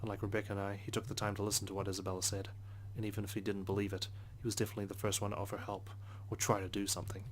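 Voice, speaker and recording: A man reading visual novel narration aloud as a let's play host, subdued, with hushed asides. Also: on the low side, monotone, rapid-fire, few pauses, a little hiss or hum. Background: soft guitar music.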